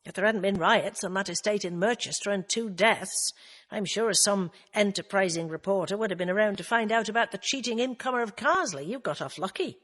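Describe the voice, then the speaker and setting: A woman voicing a calm, kindly young male detective who gives steady advice. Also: medium pitch, a little fast, natural voice. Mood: advising.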